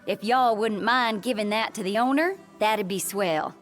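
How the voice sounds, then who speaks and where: An actress playing a young, easygoing garage mechanic in a video game, folksy and sweetly cajoling in a Southern US accent. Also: female voice, mid-range voice, normal speed, soft background music, close to an everyday voice. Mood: friendly. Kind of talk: video game cutscene acting.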